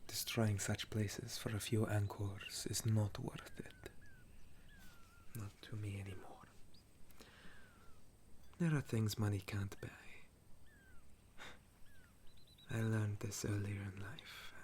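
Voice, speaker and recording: A gentle, ancient male sun elf, acted by a man in an ASMR roleplay, with a lowish voice and very slow, hesitant delivery. He speaks softly and breathily, often sinking into a whisper like an old soldier telling tales at ease. Some noise sits behind the voice. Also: wildly swooping pitch. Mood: wistful, gentle.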